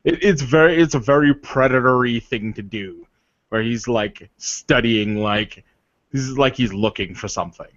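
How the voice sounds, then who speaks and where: A man's voice: mid-range voice, fairly bright tone. Man narrating a game in echoey room. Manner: halting, colloquial, filled with "like". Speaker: game master. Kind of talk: improvised tabletop game narration. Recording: muffled, echoey room recording.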